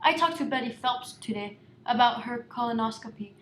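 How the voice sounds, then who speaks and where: Woman playing a high, fussing, anxious housewife. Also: fairly quick, lightly acted. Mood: anxiously cheerful. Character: anxious, over-attentive housewife fussing over her husband. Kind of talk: mid-century stage drama parody on radio. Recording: echoey room with noisy ambience.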